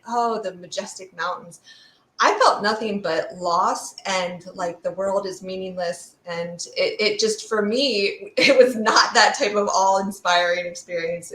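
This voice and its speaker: Echoey, low-voiced woman explaining conversationally. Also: fairly bright tone. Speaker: guest expert, fear sociologist. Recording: roomy, echoey sound.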